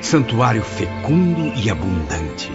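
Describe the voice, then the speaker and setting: A man narrating an audiobook in a solemn, expressive scripted style. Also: fairly low pitch, slow. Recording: dull lo-fi audio with background music.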